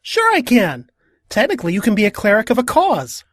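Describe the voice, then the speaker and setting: A woman voicing a cheeky, excitable, naive young male trickster with a slangy, mock-grand manner in a comic cartoon dub. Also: a little high, fairly quick, no room sound, clean studio sound. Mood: earnest, naive. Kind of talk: animated comic fandub acting.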